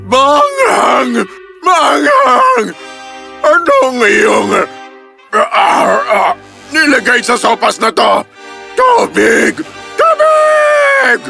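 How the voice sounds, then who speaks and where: A bullying, greedy monster for a children's cartoon tale. The voice is gravelly and swings widely in pitch as it menaces and bellows, with music underneath. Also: male voice, big pitch swings, extremely slow, heavily acted. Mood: panicked bellowing. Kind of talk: animated children's story acting.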